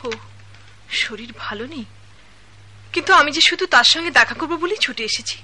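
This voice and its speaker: A woman's voice: sits high, animated, brisk, sharp tone, full character voice. A desperate poor young woman. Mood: anxious. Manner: pleading, hesitant. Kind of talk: radio drama acting.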